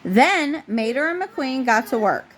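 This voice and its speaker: A woman with a fairly high voice narrates a children's picture book. She reads it aloud in the style of a parent at bedtime. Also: animated, measured pace, a bit bright, a little hiss or hum. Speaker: parent reading a bedtime story. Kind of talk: storybook read-aloud narration.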